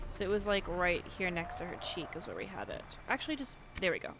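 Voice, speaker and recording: A woman's voice: lowish voice, wildly swooping pitch, fast. A woman giving casual, chatty game commentary, thinking aloud in an informal way as the host of her video. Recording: loud music behind voice.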